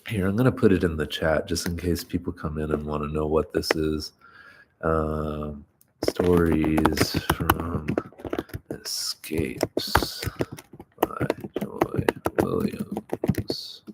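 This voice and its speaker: A man's voice: very deep voice, slow pace, heavy background noise. A man reading stories aloud on a live stream, chatting loosely between readings, a bit stuffy and nasal. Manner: rambling, relaxed, many fillers. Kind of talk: live-streamed story reading with casual chat.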